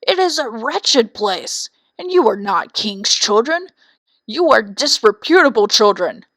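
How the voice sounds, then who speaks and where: A woman's voice: fairly high pitch, sing-song, unhurried, a bit bright, no room sound, exaggerated voice. A woman voicing a scornful, commanding male bear in a storybook. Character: gruff, insulting male bear, commander of beasts. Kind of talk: storybook character voice.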